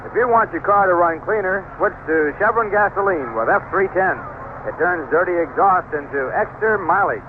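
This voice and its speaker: A man's voice: sits high, animated, normal speed. A man with an American accent calling baseball play-by-play in the style of 1970s radio. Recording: noisy, tinny old AM radio broadcast.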